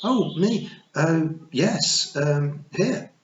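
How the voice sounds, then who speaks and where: An earnest, level-headed young girl, as a man reads her lines in a raised voice, polite and lively in pitch. It is a storybook recording, roomy and a little muffled. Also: very slow. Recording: roomy, slightly dull recording.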